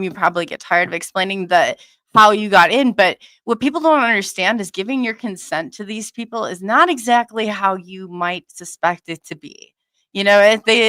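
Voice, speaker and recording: A woman hosting a live online show, chatting warmly in a halting way and often restarting her sentences. Her voice sits at a middle pitch. The sound is dry, with no room echo. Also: clear, resonant tone. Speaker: host. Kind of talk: casual online show interview.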